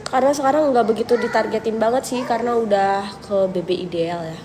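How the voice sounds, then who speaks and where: A young woman with a cold, chatting casually with viewers on a livestream, relaxed and thinking aloud. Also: fairly high pitch, a little fast. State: has a cold, coughing. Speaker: livestream host answering viewers. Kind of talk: casual live chat reading viewer questions. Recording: noisy livestream audio.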